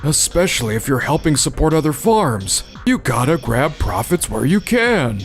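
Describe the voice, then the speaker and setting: A man's voice: on the high side, big pitch swings, moderate pace, faint music behind. A man playing a scornful, pompous businessman father in a manga dub, blustering and lecturing. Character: scornful, pompous father, supermarket chief executive. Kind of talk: expressive manga dub voice acting.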